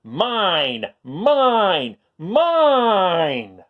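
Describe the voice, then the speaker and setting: A bratty young dinosaur bully for a children's storybook, acted out by a man. The voice is pushed up high and roars out loud, greedy, possessive shouts. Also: wildly swooping pitch, extremely slow, narrow, tinny audio, exaggerated voice.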